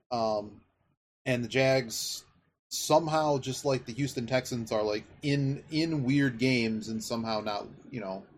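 A man's voice: medium pitch, slight background noise. A man hosting a casual sports betting chat, talking in a rambling, analytical way as he thinks aloud. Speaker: podcast host.

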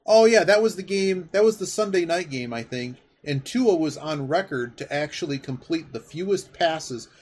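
A man's voice: on the high side, lively intonation, moderate pace, hardly any pauses, a little mellow. A man hosting a podcast, chatting casually about sports in a rambling, enthusiastic way. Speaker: podcast host. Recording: clearly audible background noise.

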